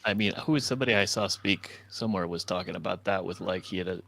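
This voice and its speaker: A man's voice: low voice, fast talker, creaky, fairly bright tone. A man hosting a casual conversation, asking questions and sharing loose remarks. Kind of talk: casual chat.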